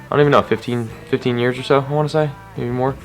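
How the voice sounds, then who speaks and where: A 23-year-old man in a casual interview, relaxed and enthusiastic. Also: mid-range voice, fast talker, faint music behind.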